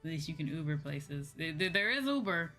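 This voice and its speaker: A woman's voice: sits low, expressive, a little fast, sharp tone. A woman hosting a livestream, chatting casually with viewers in a rambling way, thinking aloud and circling back over her points. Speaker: livestream host and virtual streamer. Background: background music.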